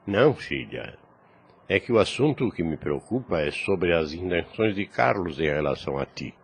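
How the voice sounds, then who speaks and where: A man's voice: low-pitched, very animated, moderate pace, slightly rough, full character voice. An actor playing a kindly, frank older priest in a radio drama, formal and fatherly. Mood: fatherly, concerned.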